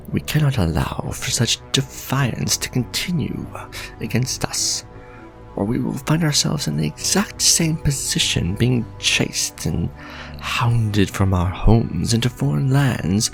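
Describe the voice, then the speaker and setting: A man playing a proud, ancient vampire lord in a gothic romantic roleplay, courtly and menacing, speaking in formal, grandiose phrases with breathy edges. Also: medium pitch, a bit bright, full character voice. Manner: formal, archaic, grandiose, dramatic deliberate phrasing.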